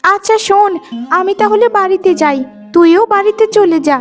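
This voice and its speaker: A woman's voice: extremely high pitch, moderate pace, faint music behind. A woman voicing a vain, meddling young female rival in a melodramatic cartoon, gossiping and goading with exclamations. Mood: sly, goading. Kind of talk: melodramatic moral-story cartoon acting.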